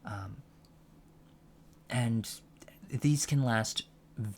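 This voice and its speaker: A man playing an earnest alien college professor in a soft-spoken ASMR lecture roleplay, halting and deliberate, carefully correcting himself as he explains. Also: medium pitch. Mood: earnest, explanatory. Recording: clear steady background noise.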